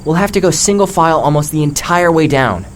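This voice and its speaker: A man's voice: on the high side, animated, fairly quick, a little hiss or hum, natural voice. A man playing a tired, stubborn rescue leader. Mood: tired, resigned. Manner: obstinate, worn-out. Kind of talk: audio drama acting.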